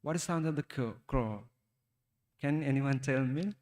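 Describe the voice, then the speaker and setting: A man with a Sri Lankan accent, a Buddhist monk giving a talk to young listeners, speaking haltingly and asking them questions. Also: mid-range voice, darkish tone, in a large hall. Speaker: Buddhist monk teaching youth. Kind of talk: Dhamma talk for children.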